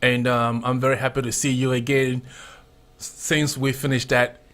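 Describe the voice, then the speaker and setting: A man with a Ghanaian accent hosting a casual radio chat show, animated and prone to repeating himself. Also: mid-range voice. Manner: animated, repeats phrases, drawn-out spelling of contacts. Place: radio studio.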